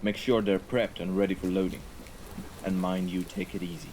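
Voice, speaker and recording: A man playing a composed, menacing mafia right-hand man in an audio roleplay, speaking in a formal, measured way. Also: low voice, fairly even intonation, a little mellow, slight background noise. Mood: stern, commanding. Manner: formal, measured, deliberate wording. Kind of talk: scripted audio roleplay acting. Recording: rain and ambience behind the voice.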